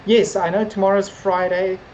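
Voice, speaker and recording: A woman's voice: mid-range voice, little pitch movement, moderate pace, a little mellow. A woman co-hosting a podcast in casual, unscripted chat, with a rambling, hesitant, loosely phrased delivery. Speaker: podcast co-host. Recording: dull lo-fi audio, background noise.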